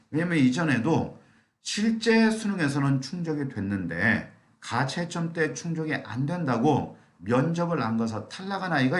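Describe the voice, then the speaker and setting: Middle-aged male consultant, tired husky throat, animated intonation, explaining to a seminar in an echoey room. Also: mid-range voice. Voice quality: slightly hoarse, thick voice. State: strained throat from long talking. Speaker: admissions consultant giving a lecture.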